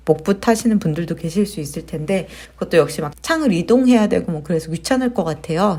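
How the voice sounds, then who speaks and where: A woman with a slightly low voice narrates a software tutorial as its host. She explains each step in a conversational way, often posing questions to the viewer. The delivery is that of casual, practical instruction. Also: slightly rough. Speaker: tutorial host, digital marketer.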